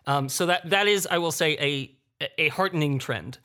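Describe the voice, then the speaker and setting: A man with a bright, fairly high voice talks as a panelist. His delivery is informal and conversational, suited to a roundtable discussion. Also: moderate pace.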